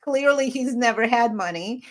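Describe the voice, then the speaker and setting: A chatty woman with a slightly high voice tells stories in a loose, animated way. She laughs often as she talks and keeps up a casual podcast chat. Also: normal speed. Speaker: podcast co-host.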